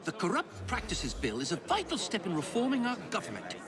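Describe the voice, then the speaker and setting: An actor playing an indignant, pompous Victorian prime minister with an upper-class English accent in a video game. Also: male voice, sits high, huge pitch swings, a little fast, crowd noise. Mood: haughty. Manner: pompous, theatrical oratory. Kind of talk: video game cutscene acting. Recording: loud music under dialogue.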